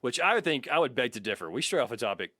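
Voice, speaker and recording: A man's voice: medium pitch, big pitch swings, racing, fairly bright tone, no echo. A man co-hosting a podcast in casual, joking, sweary chat, reading out listener comments. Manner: profane, joking. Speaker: podcast co-host.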